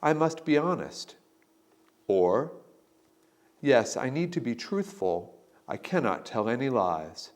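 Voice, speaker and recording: Male lesson narrator, slow and deliberate, pitch rising and falling widely as he voices sample replies. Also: very animated. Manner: slow, deliberate, model answers read with emphasis. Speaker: instructor giving sample answers. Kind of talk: scripted instructional narration.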